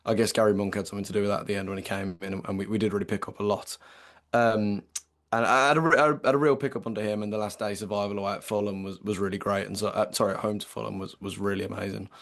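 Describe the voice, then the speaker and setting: A man in his late twenties with an English accent and a slightly croaky voice, co-hosting a casual football podcast and chatting with lots of fillers. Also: fairly low pitch, fairly even intonation, rapid-fire, fairly bright tone. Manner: chatty, many fillers and restarts. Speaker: podcast co-host.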